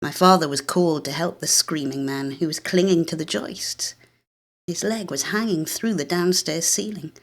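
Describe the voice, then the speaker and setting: Low-voiced British woman reading a story. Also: moderate pace. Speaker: actress reading a short story. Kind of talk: first-person dramatic literary narration.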